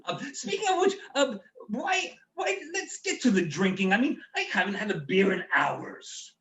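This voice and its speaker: A man acts out a bumbling German storyteller, eager and a little tipsy, for a comic stage show. The voice is high and lively, stammering apologetically, with room echo around it. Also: moderate pace, heavily acted. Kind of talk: comedic stage patter and rhymed storytelling. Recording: roomy, echoey home recording.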